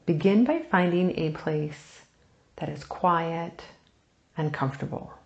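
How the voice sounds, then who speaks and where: A woman leading a guided meditation, speaking softly and soothingly in unhurried phrases with long pauses, turning breathy at phrase ends. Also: sits low, reverberant, highs cut off. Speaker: meditation teacher.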